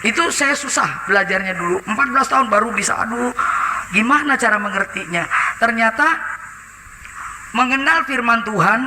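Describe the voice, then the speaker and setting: A woman's voice: a little high, sharp tone. A woman from Indonesia giving a conversational church testimony through a face mask, full of hesitations and often turning to address the congregation. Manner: chatty, many hesitations, often addresses the audience. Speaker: lay preacher giving testimony. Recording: echoey hall with background noise.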